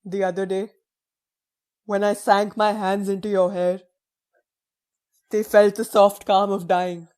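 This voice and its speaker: A woman's voice: mid-range voice, level tone, unhurried, pauses often, darkish tone, lightly acted. A fearsome, world-weary ruler acted by a woman in an audio drama. The delivery is theatrical and brooding, like a stage tyrant who hides away. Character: fearsome, weary male ruler hidden behind a network. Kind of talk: stage-like English audio play acting.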